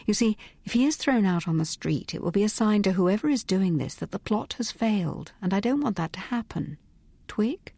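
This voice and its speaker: A woman's voice: medium pitch, animated, normal speed, gravelly, warm tone, dry recording. Woman voicing a cool, commanding lady sleuth. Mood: calm. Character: poised, sharp-witted young woman detective.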